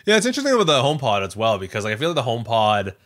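A man with a bright, forceful voice chats casually as a podcast co-host. His pitch moves around in a lively way, and he leans on words emphatically as he makes his points. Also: racing. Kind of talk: casual tech podcast chat.

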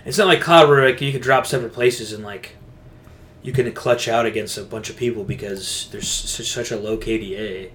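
A man's voice: mid-range voice, brisk, a bit bright. Male podcast co-host, loose and slangy conversational delivery, average register, some noise on the recording. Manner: rambling, informal gamer slang. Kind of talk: casual gaming chat.

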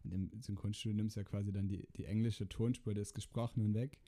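Man explaining quickly, flat delivery. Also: on the low side. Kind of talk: casual chat.

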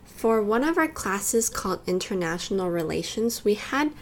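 A woman's voice: medium pitch, lively intonation, normal speed, slight background noise. A young woman who grew up in California and Taiwan, co-hosting a podcast and talking casually with frequent "like" fillers. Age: young adult. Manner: conversational, lots of "like" fillers. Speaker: podcast co-host.

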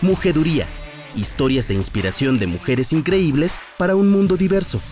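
A man's voice: fairly high pitch, animated, normal speed, darkish tone, soft background music. A man announcing a scripted show opening in an upbeat, promotional way.

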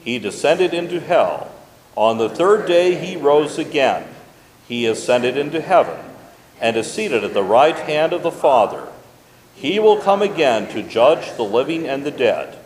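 A man leads a liturgical recitation as pastor. His voice is fairly high and very lively in pitch, ringing with the long reverb of a church sanctuary. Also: measured pace. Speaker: pastor, worship leader. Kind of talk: leading congregational recitation of a creed.

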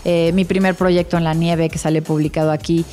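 Low-pitched Mexican woman in conversation. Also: flat delivery, a little fast, mellow, soft background music. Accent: Mexican Spanish. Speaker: interior designer being interviewed. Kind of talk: conversational interview answers.

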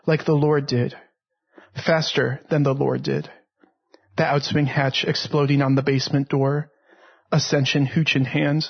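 A man's voice: on the high side, vocal fry. A man reading his own poem aloud as a literary recitation. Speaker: poet reading own work. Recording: dull, slightly lo-fi recording.

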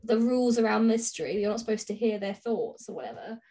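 A woman's voice: medium pitch, animated, fast talker, mellow. A woman co-hosting a podcast, talking through books casually and informally in chatty, rambling explanations. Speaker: podcast co-host. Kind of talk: casual book discussion chat.

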